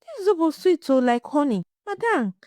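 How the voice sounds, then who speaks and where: A woman's voice: sits high, big pitch swings, moderate pace, mellow, no room sound, clean studio sound, voice pushed up. A woman voicing a delighted, eager market customer in a folktale, exclaiming in Pidgin turns of phrase.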